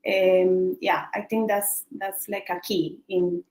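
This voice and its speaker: A woman's voice: on the low side, level tone, slow pace, crowd noise. A woman hosting an online interview, asking questions in an encouraging, chatty way. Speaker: interview host. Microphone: video call. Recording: echoey room on video call.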